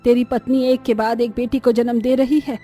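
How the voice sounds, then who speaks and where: Woman playing cruel scolding old mother-in-law, soft-edged tone. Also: on the high side, flat delivery, normal speed, faint music behind, lightly acted. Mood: bitter, scolding. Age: older. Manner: harsh, taunting, scolding. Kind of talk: dramatized Hindi family storybook cartoon.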